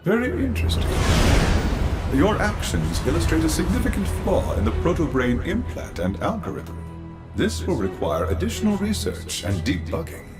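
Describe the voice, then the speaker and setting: Eccentric patronizing scientist mastermind. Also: male voice, fairly high pitch, huge pitch swings, moderate pace. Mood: coolly displeased. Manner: formal, wordy, patronizing. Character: eccentric, paternal older male scientist mastermind, coolly condescending. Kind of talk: video game cutscene acting. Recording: music behind the voice.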